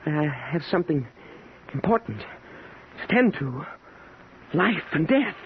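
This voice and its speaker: Brief: obsessed, bewildered man, slow broken phrases, swinging pitch, vintage radio drama. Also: sing-song. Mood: confused, insistent. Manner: halting, disjointed, repeating himself. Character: dazed obsessed man in a confused, staggering stupor. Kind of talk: 1940s radio acting. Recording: dull, noisy old radio broadcast.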